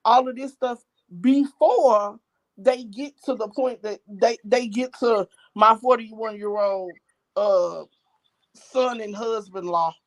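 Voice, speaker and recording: An older woman calling in to a show, talking bluntly and excitedly in casual slang. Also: a little high, takes it slowly, poor audio. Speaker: caller. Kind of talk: casual phone-in chat.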